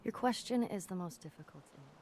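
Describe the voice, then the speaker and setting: A woman reading quotations for game audio logs in a deliberate, contemplative manner. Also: lowish voice, lively intonation, darkish tone, slight background noise.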